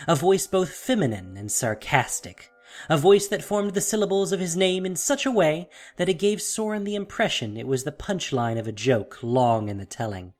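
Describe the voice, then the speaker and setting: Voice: mid-range voice, lively intonation, moderate pace. A narrator reading a scripted fantasy tale in a dramatic storytelling style. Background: soft music.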